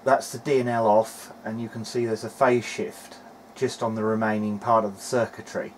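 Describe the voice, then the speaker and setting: Male hobbyist presenter, lowish pitch, casual and hesitant technical walkthrough, slight background noise. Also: flat delivery, normal speed. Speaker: hobbyist presenter of an electronics repair video diary. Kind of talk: casual technical narration while demonstrating.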